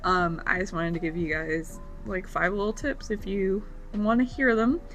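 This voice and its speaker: Bright, raspy-voiced young woman vlogging. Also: medium pitch, fairly even intonation, moderate pace, soft background music. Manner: rambling, lots of fillers and repeats. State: raspy from bronchitis. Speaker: esthetician giving tips. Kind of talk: casual vlog talk to camera.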